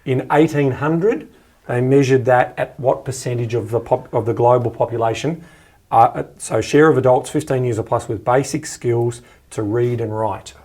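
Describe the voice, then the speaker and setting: Australian male podcast co-host, medium-pitched, enthusiastic and conversational. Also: normal speed, darkish tone. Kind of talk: casual chat.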